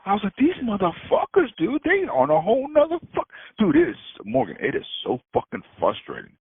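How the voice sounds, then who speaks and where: A man's voice: sits high, huge pitch swings, a little fast, breathy edge, dry recording. A man, a freight broker, ranting in casual talk on a phone call, swearing a lot and laughing often. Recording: tinny phone line.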